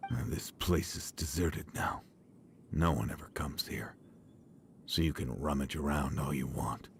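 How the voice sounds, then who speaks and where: A man voicing a weary, hesitant villager in a video game, speaking haltingly. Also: extremely low pitch, steady tone, slight background noise. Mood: apologetic, resigned. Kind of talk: video game character acting.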